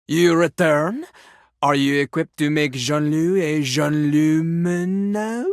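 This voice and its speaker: An actor voicing a pompous, sassy talking toilet who raps in a theatrical French accent, boasting haughtily in a video game. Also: male voice, fairly high pitch, slow pace, no echo, clean studio sound. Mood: dramatic, wounded. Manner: haughty, third-person boasting, theatrical flourishes. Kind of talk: video game character voice acting, partly rapped.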